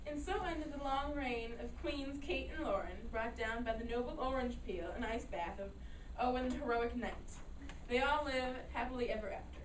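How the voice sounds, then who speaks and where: Theatrical feisty mom woman acting, high voice, echoey stage reading. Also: normal speed, close to an everyday voice. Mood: grand storytelling. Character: theatrical, combative mother proud of her son. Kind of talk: amateur staged play reading. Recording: roomy, distant mic, faint noise.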